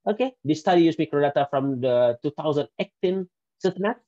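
A man's voice: a little high, lively intonation, a little fast, dark tone, no echo. A man in his thirties from Indonesia, speaking English with an Indonesian accent, giving a recorded conference presentation with some careful restarts. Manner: careful non-native English, occasional restarts. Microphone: headset. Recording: dull lo-fi dry sound.

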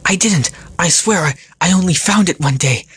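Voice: mid-range voice, expressive, normal speed, a bit bright, slightly rough, dry recording, clean studio sound, voice pushed up. A storyteller voicing a cowardly, defeated shadow demon in a panicky, whining manner. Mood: pleading.

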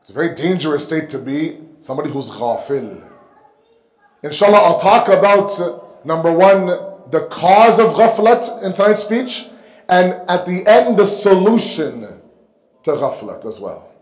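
A man delivers a religious lecture in a rather high voice. He speaks emphatically, repeating phrases for weight and posing rhetorical questions. The sound is noticeably echoey, picked up on a microphone in a hall. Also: expressive, measured pace, a little mellow. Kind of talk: sermon lecture. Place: indoor mosque hall, seated at the pulpit. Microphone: microphone on a stand close in front.

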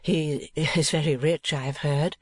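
A woman voicing an earnest, timid young woman who speaks softly and haltingly. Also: low voice, fairly even intonation, slightly rough, no echo.

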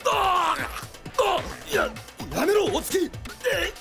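A melodramatic, high-strung anime guard. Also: male voice, expressive, extremely slow, vocal fry, music in the background, heavily acted. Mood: flustered, agitated. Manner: clipped and commanding, melodramatic when rattled. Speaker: anime voice actor. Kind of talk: dramatic Japanese anime acting.

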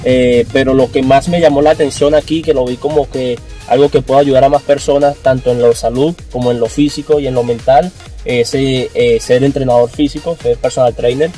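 A man's voice: medium pitch, steady tone, fairly quick, dark tone, soft background music. A young man from Caracas with a Venezuelan Spanish accent, a personal trainer answering interview questions in a car, hesitant, with many fillers. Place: passenger seat of a car.

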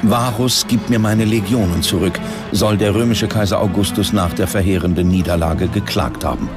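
A man's voice: fairly low pitch, moderate pace. A man reading scripted narration for a history documentary. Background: clear background music.

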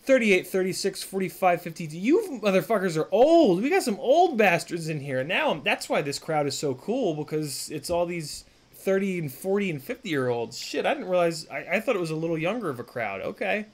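A man's voice: sits high, sing-song, normal speed. A man in his thirties streaming live from a home workshop, chatting casually with viewers, rambling and swearing with lots of fillers. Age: 30s. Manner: rambling, profane, full of fillers and restarts. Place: home workshop room, indoors.

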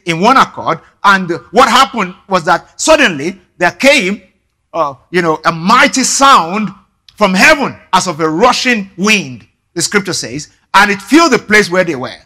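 Bright-voiced pastor preaching. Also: male voice, high voice. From Nigeria. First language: Yoruba. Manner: animated preaching with gestures, frequent restarts. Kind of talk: sermon. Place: large church stage indoors.

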